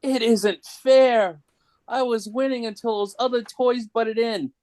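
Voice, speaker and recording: A man's voice: very high voice, steady tone, unhurried, a little mellow, no room sound. A man voicing a gloating, petulant toymaker villain in a whiny, theatrical comic book read. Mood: petulant outrage.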